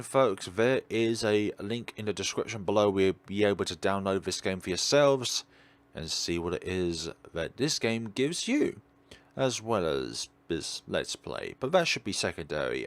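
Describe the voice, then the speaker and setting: Male let's play host, very deep voice with expressive pitch swings, rambling and reflective. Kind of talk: reading a visual novel aloud with rambling off-script commentary.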